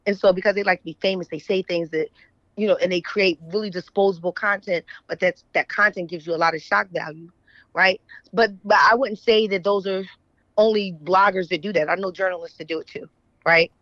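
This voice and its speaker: A woman's voice: on the low side, fairly even intonation, brisk, a bit bright, dry recording, muffled recording. An American woman speaking as an interview guest, casual and opinionated, often checking in with the listener. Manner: opinionated, frequent checking tags like "right?" and "you know what I'm saying". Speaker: guest, author and journalist. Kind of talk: casual conversational interview talk.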